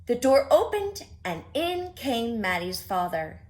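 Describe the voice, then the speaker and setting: A woman reading a picture book aloud for preschoolers, expressive and speaking directly to her young listeners. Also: on the high side, slow pace. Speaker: children's story reader.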